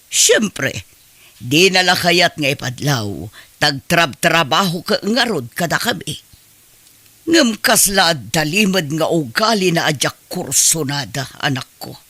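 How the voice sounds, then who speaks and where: A woman's voice: sits low, animated, takes it slowly, sharp tone, full character voice. A woman playing a stern, distrustful middle-aged mother in an audio drama, admonishing her grown son emphatically. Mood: worried, advising.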